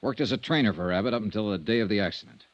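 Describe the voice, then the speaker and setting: An actor playing a steady, probing insurance investigator in a 1950s radio detective drama, with terse, measured questions. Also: male voice, fairly low pitch, no echo, natural voice. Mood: worried, earnest. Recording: dull vintage radio recording.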